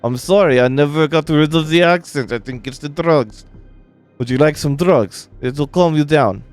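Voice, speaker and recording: A man's voice: a little high, moderate pace, soft background music, natural voice. A man voicing a brash, pushy Russian man in a fake Russian accent, speaking broken, theatrical English. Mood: cheery. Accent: put-on Russian.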